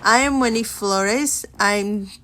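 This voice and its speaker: A woman in her twenties, from Mexico, hosting a podcast and telling her life story in an earnest way with pauses and restarts. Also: medium pitch, bright, forceful tone. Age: 20s. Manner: earnest, unhurried, talks with many pauses and restarts. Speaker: podcast host.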